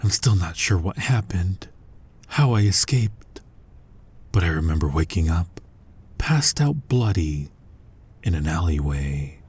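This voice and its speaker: Man acting a very husky, low-voiced vampire hunter. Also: lively intonation, a little mellow, heavily acted. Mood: grim, haunted. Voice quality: husky, breathy, often dropping to a whisper. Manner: slow, deliberate, intimate menace. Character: ancient brooding male vampire who hunts vampires, dominant and menacing. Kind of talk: scripted ASMR roleplay monologue.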